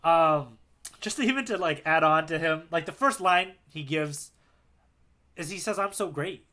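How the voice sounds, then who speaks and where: A man co-hosting a podcast, chatting casually in an animated, slangy way with plenty of "like". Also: fairly high pitch, measured pace. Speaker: podcast co-host. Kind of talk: casual chat, manga readalong commentary.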